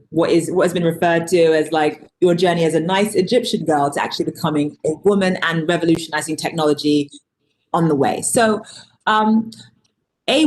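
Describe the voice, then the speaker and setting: A woman's voice: deep voice, darkish tone, slight background noise, rough recording. A woman moderating a panel discussion over a video call, asking guests questions in a friendly way.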